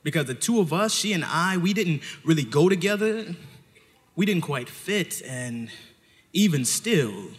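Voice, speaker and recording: A male storyteller performs live on stage with a theatrical flair. His voice sits high and moves widely, leaning hard on key words. The long reverb of a hall surrounds him. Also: animated, unhurried. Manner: theatrical, dramatic delivery with pointed emphasis.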